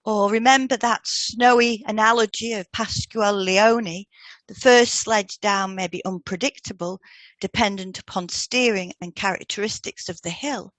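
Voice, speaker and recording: A woman co-hosting a scripted podcast, reading out advice in a gentle, measured way as a therapist would. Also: mid-range voice, steady tone, no echo, rough recording. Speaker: podcast co-host and hypnotherapist. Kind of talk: scripted podcast advice with example client questions read out.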